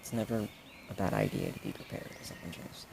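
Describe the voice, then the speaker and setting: Over clear background ambience comes a low-voiced, creaky young guy a man acting it out, playing the supportive best friend in an audio roleplay. He speaks casually and earnestly, rambling and hesitating as he addresses the listener. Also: huge pitch swings, fast, background noise, full character voice. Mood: concerned, supportive. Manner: hesitant, rambling, casual with many trailing-off phrases.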